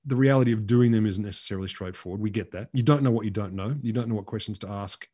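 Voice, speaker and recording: Husky aussie guy rattling off a science yarn quick on a headset, middle-aged. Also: on the low side, dark tone. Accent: Australian. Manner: storytelling, emphatic, gestures while talking. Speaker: podcast co-host. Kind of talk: casual science chat. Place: home room with shelves. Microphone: headset on a video call.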